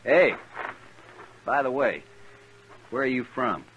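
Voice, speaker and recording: An American actor playing a gruff, plain-spoken trail boss in a 1950s western radio drama, blunt and folksy with dry humour. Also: male voice, medium pitch, lively intonation, slow, fairly bright tone. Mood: blunt, guarded. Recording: noisy, muffled old radio recording.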